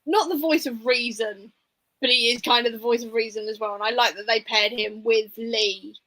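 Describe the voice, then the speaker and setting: A woman's voice: fairly high pitch, steady tone, normal speed, pushed and bright, low-quality recording. A woman hosting a casual book discussion, speaking informally and giving her opinions with plenty of hedging. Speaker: book discussion livestream host.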